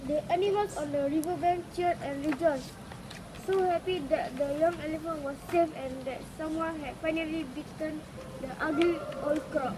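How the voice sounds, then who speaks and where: High-voiced woman reading a folk tale. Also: fairly even intonation, moderate pace. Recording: clearly noisy recording with ambient sound.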